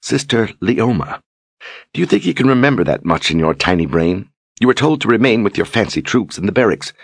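A haughty older sorceress drips contempt with each phrase, as a man puts her on. The voice sits well above his own and carries a husky edge. It is a dry, close storybook reading. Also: on the low side, big pitch swings, fairly quick, no echo, voice pushed up, exaggerated voice. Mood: scornful. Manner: mocking, condescending. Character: haughty older female sorceress, sharp-tongued.

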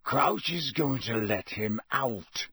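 A man in a storybook reading, voicing a gruff, sardonic veteran wizard lawman in a growling mutter. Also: mid-range voice, lively intonation, very slow, no echo, full character voice. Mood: bitter, sardonic.

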